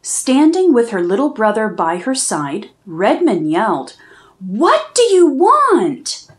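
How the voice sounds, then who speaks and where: A woman reading a children's picture book aloud in a slow, expressive storytelling manner. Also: fairly high pitch.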